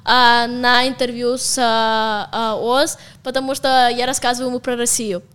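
Young russian woman guest, native russian, high clear voice, chatty, frank, lots of fillers. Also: little pitch movement, slow, fairly bright tone. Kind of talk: casual interview chat.

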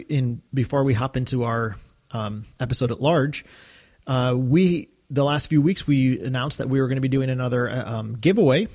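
A man's voice: mid-range voice, darkish tone. A man co-hosting a fan podcast, talking casually and chattily with rambling asides. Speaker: podcast co-host.

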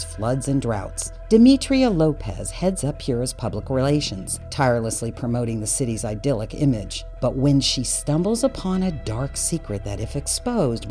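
A woman with a strikingly low, dark voice narrates a scripted podcast promo. Her delivery is animated, and faint music runs underneath.

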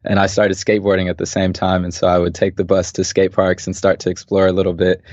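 Deep-voiced, calm man, dull dry audio. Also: fast talker, no echo. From Los Angeles, USA. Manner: calm, thoughtful, laughs easily. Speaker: podcast guest, artist and designer. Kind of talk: relaxed interview conversation.

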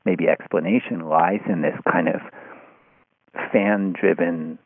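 Male interview guest, deep register, telephone-band audio, reflective and halting, conversational delivery with frequent restarts. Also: steady tone. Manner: thoughtful, halting, often restarts phrases. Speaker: interview guest, writer of an article on podcasts. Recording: phone-like narrow-band sound.

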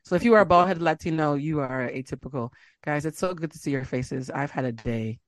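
A woman's voice: low-pitched, a little fast, no room sound. A woman hosting a podcast in casual chat, telling stories and acting out the people in them. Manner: animated storyteller, acts out quotes. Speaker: podcast host.

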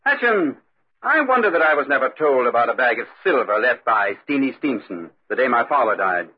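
A man's voice: mid-range voice, very animated, brisk, breathy edge. A man playing a haughty, suspicious lord of the castle in 1940s radio acting style. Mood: commanding. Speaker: actor in radio drama. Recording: muffled 1940s radio recording.

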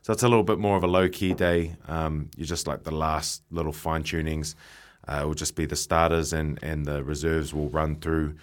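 A young New man, a professional rugby player, chatting as a radio guest in a halting way and repeating his words. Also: rumbling low, steady tone. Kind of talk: casual radio chat.

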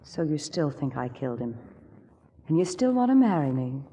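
A woman's voice: deep voice, big pitch swings, normal speed, dark tone, breathy edge, long reverb tail, full character voice. An actress playing a composed, resigned woman burdened by guilt in a 1940s radio drama, subdued and restrained. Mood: resolute.

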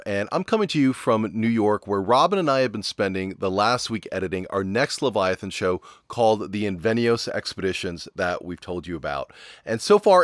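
A man's voice: fairly low pitch, no room sound, clean studio sound. A man hosting a podcast, delivering an upbeat scripted announcement to listeners. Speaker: podcast host.

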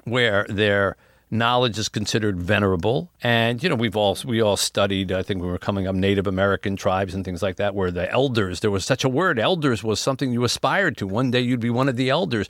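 A man's voice: on the low side, brisk, a bit bright, dry recording. Reflective male talk host, dry studio sound. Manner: reflective, storytelling, opinionated. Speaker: radio talk show host. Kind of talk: casual radio talk.

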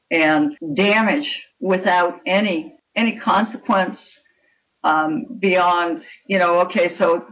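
A woman's voice: lowish voice, a bit bright. A woman speaking as a guest author in an interview, talking about writing fiction in an opinionated, emphatic way. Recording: dull, lo-fi call audio.

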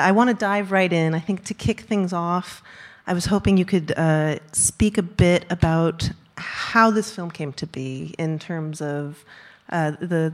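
Eager woman moderating a stage talk. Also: sits low. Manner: eager, with many fillers. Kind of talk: live Q&A moderation. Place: live stage event before an audience.

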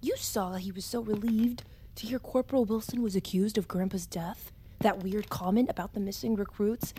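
An actress playing a defiant, suspicious young ex-soldier daughter, sarcastic and blunt, in a naturalistic audio drama. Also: female voice, medium pitch, fairly even intonation, moderate pace, warm tone, a little hiss or hum, lightly acted. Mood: hushed, insistent. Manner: sarcastic, foul-mouthed, blunt.

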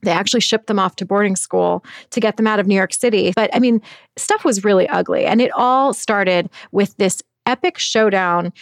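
A woman, a magazine editor, talking in an animated, chatty way as a guest in a news interview. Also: mid-range voice, moderate pace, vocal fry, dry recording, studio quality. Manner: animated, chatty, frequent "like" and "I mean".

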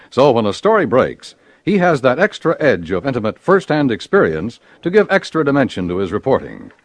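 A man's voice: medium pitch, no room sound. A man announcing on 1950s network radio, reading scripted promos in a polished, emphatic, declamatory way.

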